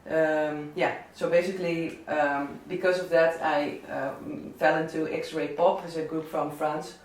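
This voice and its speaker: A man with a southern Dutch accent talking casually about favourite records, halting often with fillers and restarts. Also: a little high, flat delivery. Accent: Dutch, southern Brabant. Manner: hesitant, many fillers and restarts. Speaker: DJ and record selector. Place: indoor listening session. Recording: echoey room, mic at a distance.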